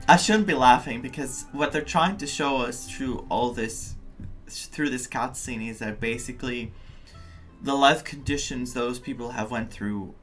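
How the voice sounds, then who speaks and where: A man's voice: medium pitch, fairly bright tone. A man who is not a native English speaker gives casual, reflective live commentary as a game streamer, searching for words.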